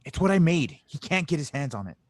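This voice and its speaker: A man's voice: a little high, sing-song, fast talker, no room sound. A man playing an earnest, stubborn young inventor in a space audio drama, urgent and insistent. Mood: frustrated, urgent. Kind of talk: audio drama table read.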